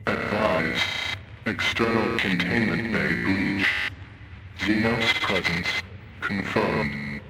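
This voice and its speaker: A man's voice: sits low, creaky, heavily acted. A man voicing a grim automated containment bay announcer in a game, reciting status notices in a clipped, solemn, impersonal way. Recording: echoey, loud music behind.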